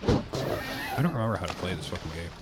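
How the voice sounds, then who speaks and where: A man's voice: low-pitched, lively intonation, fairly quick, heavy background noise. A man streaming a video game, joking crudely and swearing a lot in casual banter.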